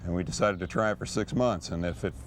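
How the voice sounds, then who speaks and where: Low voiced guy in a doc interview, lots of uhs, slight hiss. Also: fairly even intonation, quick pace. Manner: hesitant, many "uh" fillers. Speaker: gallery founder. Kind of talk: documentary interview.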